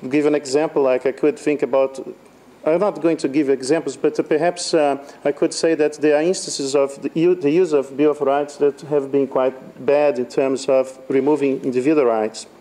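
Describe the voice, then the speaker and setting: A man's voice: on the high side, fairly even intonation, moderate pace, mellow. A man on a conference law panel, speaking hesitantly and searching for his words.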